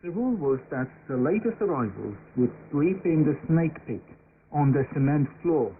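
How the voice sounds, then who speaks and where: A man's voice: fairly high pitch, sing-song, normal speed. Man reading testimony over thin shortwave radio. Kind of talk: scripted English voice-over of first-person testimony. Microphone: broadcast received over shortwave radio. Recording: noisy narrow-band shortwave radio broadcast.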